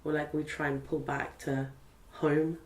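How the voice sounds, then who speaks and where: A woman's voice: deep voice, flat delivery, unhurried. Young woman from zimbabwe chatting in an interview, lots of ums, sounds far off and roomy. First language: Portuguese. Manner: chatty, lots of fillers and restarts. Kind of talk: casual interview. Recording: roomy sound from a distant mic.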